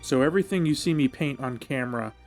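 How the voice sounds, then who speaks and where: A man's voice: medium pitch, fast talker, darkish tone. Man narrating a how-to over music. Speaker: hobby painting tutorial host. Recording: music behind the voice. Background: background music.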